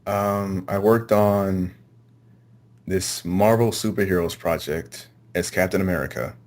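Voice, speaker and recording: Low-voiced man, casual and hesitant. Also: measured pace, darkish tone. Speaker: amateur voice actor, interview guest. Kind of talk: casual interview answers.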